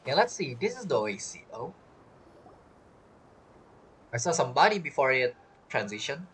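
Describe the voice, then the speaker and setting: Streamer voice: husky, mid-pitched, animated, casual rambling gameplay chatter. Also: normal speed. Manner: rambling, thinking aloud. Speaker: game streamer. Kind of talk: casual live gameplay commentary.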